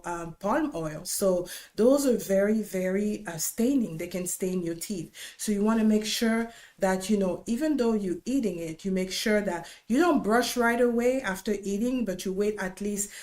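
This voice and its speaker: A woman's voice: mid-range voice, a little mellow. A woman with a Congolese accent, a dentist hosting an informal educational livestream, speaking conversationally and instructively and repeating key points for emphasis. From Democratic Republic of Congo. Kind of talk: informal educational talk to viewers.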